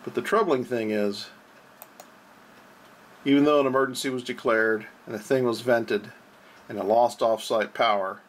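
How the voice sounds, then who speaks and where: A man giving informal explanatory commentary as a blog host, with an American accent and a halting delivery full of drawn-out uhs. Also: medium pitch, steady tone. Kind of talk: informal explanatory commentary over on-screen reports.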